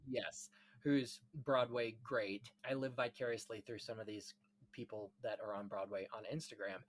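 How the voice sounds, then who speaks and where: A man's voice: fairly low pitch, steady tone, moderate pace, slight background noise. Effusive man hosting a casual podcast. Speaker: podcast host. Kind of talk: casual film-history chat.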